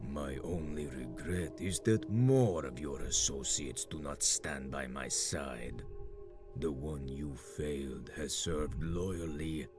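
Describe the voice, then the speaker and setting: A super deep, slow ancient vampire lord, grandiose, hisses, game music loud behind. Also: male voice. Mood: gloating. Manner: grandiose, menacing, slips into hissing whispers. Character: ancient, scheming male vampire warlord.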